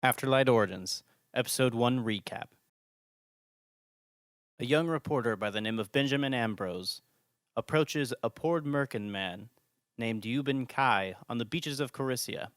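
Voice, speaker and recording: A man's voice: medium pitch, normal speed, a bit bright, dry recording, clean studio sound. A man hosting and narrating a scripted audio drama podcast.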